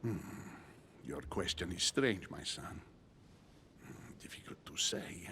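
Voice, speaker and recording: An elderly, grave churchman in a game drama. The voice is very low and creaky, with expressive rises and falls. He speaks formally and deliberately, courteous and fatherly. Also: a little hiss or hum, full character voice. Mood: pondering. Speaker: video game character.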